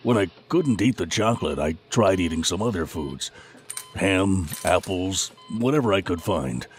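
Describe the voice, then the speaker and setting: Rueful, deep-voiced bewildered patient. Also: male voice, expressive, moderate pace, soft background music. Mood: rueful, puzzled. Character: bewildered, good-humored male bank loan officer in hospital. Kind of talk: audio drama acting.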